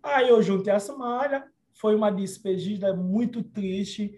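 Interviewee: man from Congo, non-native speaker, high mellow voice, earnest storyteller. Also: a little slow. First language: not Portuguese. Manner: earnest storytelling with frequent restarts and soft laughs. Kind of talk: personal life story in an interview.

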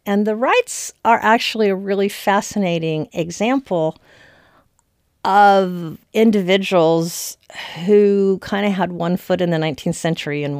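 Woman historian chatting through an explanation, low voice, emphatic, really dry close sound. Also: darkish tone, clear, resonant tone, dry recording. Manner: emphatic, conversational. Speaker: historian commentator. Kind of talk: informal explanatory history commentary.

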